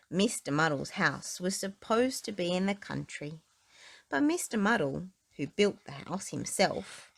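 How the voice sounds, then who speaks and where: A woman narrating a children's storybook aloud in an expressive style. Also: mid-range voice, vocal fry.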